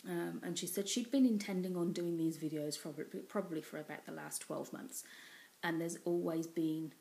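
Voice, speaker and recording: Low gravelly English woman, chatty. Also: steady tone, normal speed. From England. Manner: rambling, many ums, warm and chatty. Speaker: cross-stitch vlogger. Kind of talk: casual chatty craft vlog. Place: small room indoors at home. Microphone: built-in webcam mic at close range.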